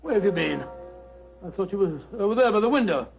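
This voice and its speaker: A muffled old radio mystery carries a bluff older English gentleman. His voice is high for a man and husky, and he blusters and mutters as the puzzled, loyal sidekick. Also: expressive, quick pace, soft background music, exaggerated voice. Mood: grumbling, bewildered. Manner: blustering, muttering, repeats himself. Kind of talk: 1940s radio mystery acting.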